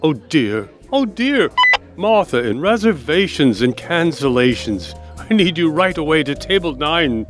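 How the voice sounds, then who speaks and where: A man's voice: a little high, very animated, soft background music. A man playing an obsequious, fussy restaurant manager eager to placate, in a comedy audio sketch. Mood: flustered, urgent. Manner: obsequious, deliberate.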